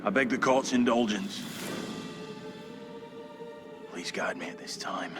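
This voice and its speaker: A man's voice: fairly low pitch, takes it slowly, music in the background. An actor playing an earnest, troubled, God-fearing colonial townsman in a video game, with solemn, formal, archaic speech. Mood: grave, hesitant. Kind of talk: dramatic video game acting.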